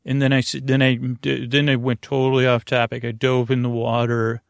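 Male sleep-podcast host: gravelly, creaky, mid-pitched, halting and digressive, lulling bedtime delivery. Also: completely flat delivery, no echo.

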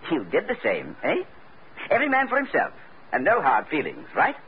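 Oily polite mob boss, old radio show, fussy formal talk, sing-songy, muffled hissy recording. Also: male voice, fairly high pitch, wildly swooping pitch, moderate pace. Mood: resigned, genial. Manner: prissy, over-polite, formal diction. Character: fussy, oily male crime boss, falsely genteel. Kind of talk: old-time radio crime drama acting.

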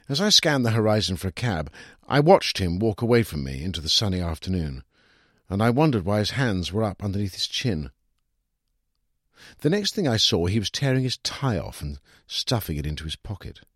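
A British man reading a literary short story in an expressive, wry first-person storytelling manner, with a husky voice. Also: deep voice, no echo, clean studio sound. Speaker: story reader.

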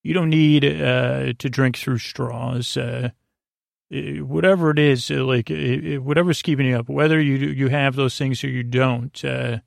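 A man hosting a sleep podcast with a goofy, rambling bedtime monologue, haltingly trailing off and doubling back. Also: mid-range voice, fairly even intonation, creaky, no echo, clean studio sound. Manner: whimsical, goofy.

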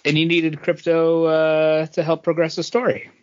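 A man's voice: on the high side, flat delivery, normal speed. A man co-hosting a podcast, talking casually about comic books with his co-hosts. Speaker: podcast co-host. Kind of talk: casual comic book review chat. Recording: dull lo-fi podcast audio.

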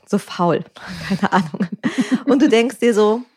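This is a woman therapist talking through ideas in a podcast chat. Her voice sits at a middle pitch for a woman. She speaks reflectively, leaning on everyday examples and frequent ums. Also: lively intonation, a little slow, darkish tone, husky. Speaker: couples therapist. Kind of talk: podcast conversation.

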